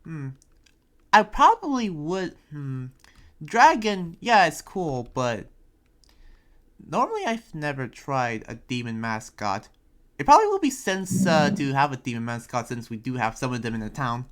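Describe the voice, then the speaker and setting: Someone playing an earnest town preacher in an improvised anime game roleplay, speaking haltingly and hesitantly. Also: mid-range voice, big pitch swings. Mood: pondering. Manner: halting, hesitant, often trailing into "you know". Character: Yuma, earnest town preacher busy planning a festival. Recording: music behind.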